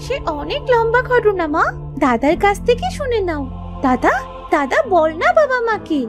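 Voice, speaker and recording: A woman's voice: very high voice, sing-song, normal speed, faint music behind. A woman voicing a sweet, eager little girl, affectionate and pleading, in a children's cartoon. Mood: pleading, cheerful.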